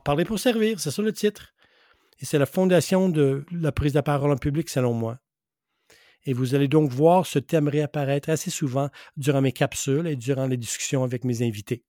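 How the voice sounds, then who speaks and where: A middle-aged man from western Canada, English his first language, hosts his own podcast with an earnest, emphatic delivery. His voice sits a little high for a man but sounds mellow and warm. The sound is close and dry. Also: no echo. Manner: earnest, emphatic, repeats key phrases. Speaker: podcast host and public speaking coach. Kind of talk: solo podcast monologue.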